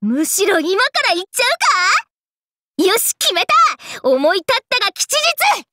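A woman's voice: extremely high pitch, very animated, a little slow, bright, forceful tone, slight background noise, heavily acted. An actress voicing a fun-loving, trendy young party girl in an anime game, exuberant and breezy with slangy speech. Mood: excited, playful. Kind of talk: anime game character lines.

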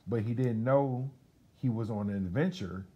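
A man's voice: mid-range voice, moderate pace, mellow. A middle-aged man from Detroit with an American accent, casually reading a children's picture book aloud indoors. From Detroit, United States. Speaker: guest storybook reader, radio station employee. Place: school library, seated indoors.

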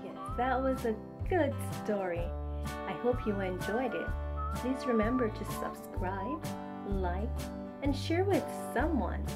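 A woman reading a picture book aloud to children, with slow, deliberate and expressive phrasing. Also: a little high, loud music behind the voice. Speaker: children's story reader. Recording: tinny, phone-like narrow-band audio.

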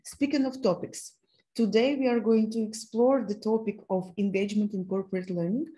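A woman in her thirties with a Ukrainian accent hosts an online workshop from her laptop on a video call. Her voice is mellow and warm, and she speaks haltingly, with frequent fillers and restarts. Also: medium pitch, steady tone. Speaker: host of an online learning event. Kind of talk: hosting a video call presentation. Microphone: laptop mic on a video call.

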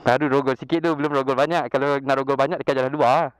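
A man's voice: mid-range voice, steady tone, fast talker, clean tone, no echo, low-quality recording. A young man with a Malaysian Malay accent, chatting enthusiastically about motorbikes in a casual vlog, laughing often. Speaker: motovlogger. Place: outdoors in a leafy park road, beside motorbikes. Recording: outdoor wind and engine noise.

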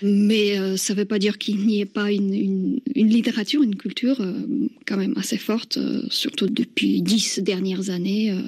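A woman from Slovakia, speaking French as a second language with a Slovak accent, answering a radio interview thoughtfully, with many restarts and soft laughs. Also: medium pitch, steady tone, a little slow. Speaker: interviewed novelist.

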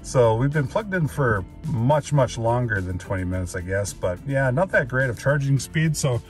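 A man's voice: medium pitch, sing-song, normal speed, faint music behind. A man in his thirties, chatty and enthusiastic, filming himself from inside a parked car with a phone at arm's length. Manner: chatty, enthusiastic, many fillers. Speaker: EV road trip vlogger. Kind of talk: casual vlog talk to camera. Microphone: phone camera at arm's length.

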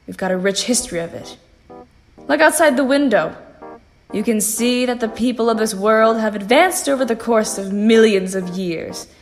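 A woman playing a composed phantom in a sci-fi audio drama, reasoning in a measured, pointed and persuasive way. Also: fairly high pitch, faint music behind, natural voice. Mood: calm, persuasive.

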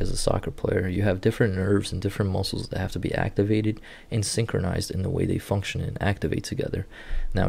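Gravelly low-voiced man explaining rehab clips. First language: Portuguese. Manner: steady, instructive walk-through of clips. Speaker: sports rehab clinician. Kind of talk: explanatory video commentary. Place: indoor room.

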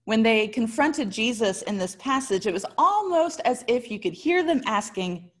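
A woman in her thirties with an American accent preaches in a fairly high voice. She speaks as a pastor working from prepared remarks, keeping the delivery conversational. Her storytelling is expressive and articulate. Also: moderate pace. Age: 30s. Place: small indoor room.

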